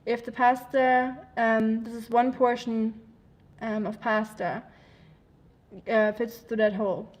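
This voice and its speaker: Woman, young adult, from Germany, livestream host, casual frank chat, high-ish pitch, monotone. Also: takes it slowly. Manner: chatty and frank, many ums and likes. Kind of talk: casual chat reading viewer comments.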